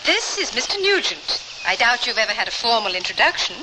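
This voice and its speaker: A woman's voice: on the high side, very animated, a little fast. An actress playing a polite, composed lady traveler of the Old West in an old-time radio drama. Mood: cheerful, composed. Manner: polite, proper, earnest. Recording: noisy old radio broadcast recording.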